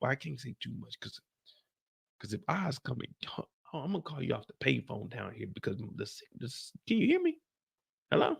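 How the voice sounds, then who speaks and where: A man's voice: mid-range voice, huge pitch swings, creaky, breathy edge, no echo, clean studio sound, full character voice. A man putting on a nervous young male henchman who stammers and trips over his words while talking on a phone. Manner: stammering, halting, repeats words.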